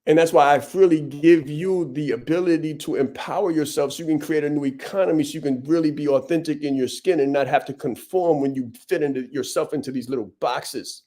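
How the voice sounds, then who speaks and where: Male coach-host, slightly high voice, hammers points home with blunt repetition. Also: dark tone. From Vietnam. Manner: blunt, preachy, punchy repetition. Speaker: podcast host and business coach. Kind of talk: motivational monologue.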